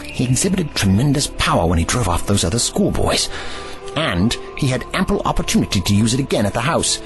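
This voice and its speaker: A man's voice: medium pitch, sing-song, brisk, faint music behind, poor audio. A man playing a grave, erudite ancient time traveller in an audio drama, with formal, slightly pompous phrasing.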